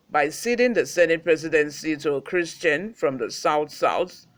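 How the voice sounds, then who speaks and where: A man's voice: fairly high pitch, fairly bright tone. A man with a Nigerian accent, hosting a news channel with read reports and informal commentary.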